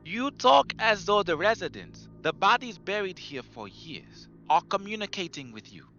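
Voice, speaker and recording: A man's voice: sits high, sing-song, normal speed, sharp tone, faint music behind, full character voice. A man playing an arrogant, skeptical doctor of science with a pompous, demanding manner. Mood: scornful. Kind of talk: audio drama acting. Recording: slightly dull lo-fi recording.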